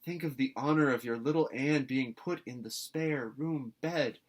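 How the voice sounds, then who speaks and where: A man voicing an excitable, dramatic young orphan girl in a storybook reading, gushing and pleading earnestly. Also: medium pitch, moderate pace, voice pushed up, natural voice.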